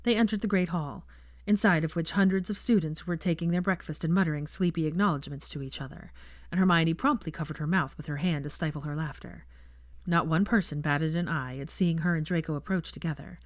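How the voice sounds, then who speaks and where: A woman's voice: on the low side, no room sound, clean studio sound. A woman narrating scripted fiction as an audiobook reader.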